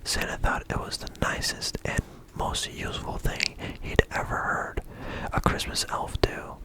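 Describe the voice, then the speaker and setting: A storyteller reading a bedtime story in a soft whisper and adding dry asides. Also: poor audio.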